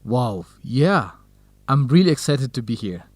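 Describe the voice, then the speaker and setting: Gentle young male researcher, educational radio drama, middle-pitched, measured, close dry sound. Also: sing-song, no echo. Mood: informative. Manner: measured, simple phrasing for English learners. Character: kind, modest young Ethiopian male researcher.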